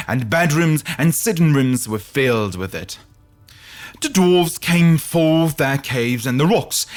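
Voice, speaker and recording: A man narrating a scripted fairy tale in a theatrical, deliberate storytelling manner, as a podcast host would. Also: mid-range voice.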